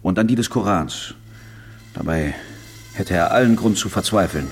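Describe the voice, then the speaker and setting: A man playing a dignified, dryly reproachful imam in a radio drama, speaking in a measured way. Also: sits low, animated, breathy edge, faint music behind, heavily acted. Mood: earnest. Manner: measured, dry. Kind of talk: naturalistic radio drama acting.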